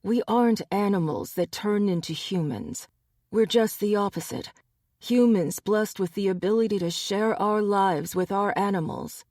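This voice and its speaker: A protective male pride leader, voiced by a woman narrating a storybook. He is curt and scornful with the people he dismisses. The delivery is slow and the sound dry. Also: mid-range voice, a little mellow, no echo, natural voice.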